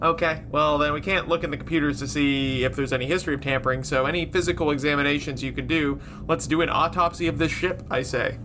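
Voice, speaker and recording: A man's voice: on the high side, fairly even intonation, fast, few pauses. A man bantering as a player in a tabletop game, with a joking, teasing manner. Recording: noisy recording with room ambience.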